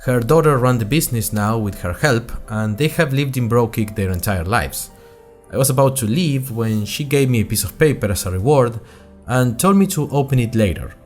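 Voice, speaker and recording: A man's voice: mid-range voice, normal speed, soft background music, close to an everyday voice. A man playing an earnest young college student who tells his story in a wry, conversational way, as host of an audio drama diary. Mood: chatty storytelling. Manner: conversational, wry, addresses listeners directly. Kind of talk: scripted first-person audio drama narration.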